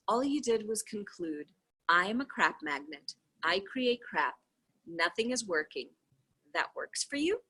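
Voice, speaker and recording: A woman's voice: mid-range voice, a bit bright, slightly rough, poor audio. A woman reading a conversational self-help book aloud, voicing its questions and answers with expression. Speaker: reader of a book read-along.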